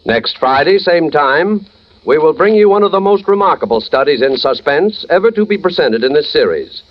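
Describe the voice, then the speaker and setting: A man's voice: a little high, expressive, moderate pace. An American man announcing a thriller program in dramatic old-time radio style. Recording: dull old radio recording, music behind.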